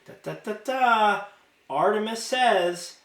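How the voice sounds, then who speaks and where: Man putting on a high-pitched, giddy, taunting teenage girl. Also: lively intonation, fairly bright tone, echoey room, exaggerated voice. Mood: mocking sing-song. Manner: playful, sing-song, drawn-out condescension.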